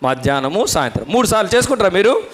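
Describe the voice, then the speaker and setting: A man's voice: sits high, sing-song, very fast, fairly bright tone. A man working as a Sunday school puppet show host, talking to children, prompting them questions and repeating the answers.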